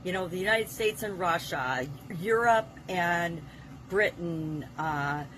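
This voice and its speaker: A woman's voice: lowish voice, animated, slow, fairly bright tone, a little hiss or hum, rough recording. A woman hosting an informal business advice monologue, explaining things with emphatic stress on key words. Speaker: host of a daily business advice series.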